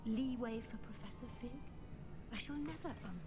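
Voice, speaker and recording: A prim female professor in a video game, played by a woman, voices formal, polite concern. Her voice sits high. Game music plays behind. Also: moderate pace, lightly acted.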